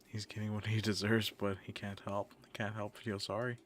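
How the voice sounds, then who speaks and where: Low, flat-toned male game streamer. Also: moderate pace, slight background noise. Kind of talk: casual reactions during gameplay.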